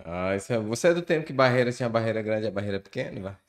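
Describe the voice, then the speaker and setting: A man's voice: on the low side, expressive, racing. A man who hosts a podcast and talks in a chatty, joking way. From western Bahia, Brazil. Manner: jokey, chatty, laughs often. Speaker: podcast host. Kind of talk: casual podcast hosting.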